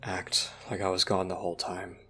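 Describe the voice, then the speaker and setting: A man playing a moody human teenager in an audio roleplay, talking in a casual, halting, slangy way. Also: low voice, completely flat delivery, sharp tone. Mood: subdued, uneasy. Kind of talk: audio roleplay acting, conversational.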